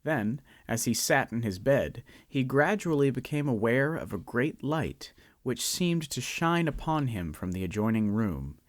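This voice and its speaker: A man narrating a student radio drama, savoring each phrase and slowing down on lists. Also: medium pitch, very animated, no echo, studio quality. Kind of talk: scripted story narration.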